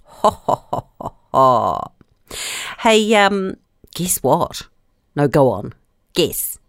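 A woman's voice: mid-range voice, a bit bright, no echo, studio quality. A woman in her fifties hosting a children's radio show, chatty and playful. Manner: chatty, playful, sing-song. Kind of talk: casual presenting to children.

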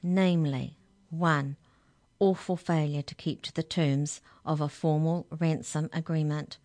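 A woman's voice: low-pitched, fairly even intonation, a little slow, darkish tone. Storybook lawwoman, played by a female reader: stern, officious, formal, emphatic.

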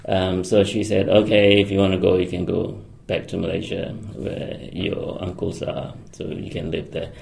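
Guy, super deep gravelly voice, slow, lots of ums and restarts. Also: level tone, darkish tone. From Singapore. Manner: hesitant, many fillers and restarts; laughs often. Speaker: guest, electronics engineer. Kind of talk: conversational radio interview.

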